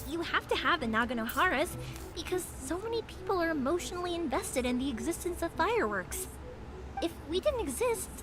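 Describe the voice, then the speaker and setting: A woman's voice: extremely high pitch, rough recording. An actress voicing a cheerful, earnest young woman who makes fireworks in a video game. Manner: bubbly and earnest. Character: cheerful young female fireworks maker, warm-hearted. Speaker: game character. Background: game music.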